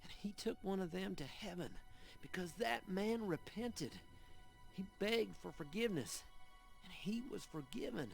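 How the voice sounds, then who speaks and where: An earnest young man of God in a Western game. His voice sits fairly high with a noticeable creak, and he preaches and pleads in grave scriptural tones over prominent music. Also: expressive, measured pace, heavily acted. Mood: desperate pleading. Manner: earnest, sermonizing, quotes scripture. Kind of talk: dramatic Western game narration and dialogue.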